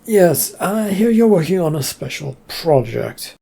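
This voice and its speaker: Reader voicing a smug insinuating office man, gravelly, dark-toned. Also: medium pitch, sing-song, a little slow, heavily acted. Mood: sly. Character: smug older male colleague, sly.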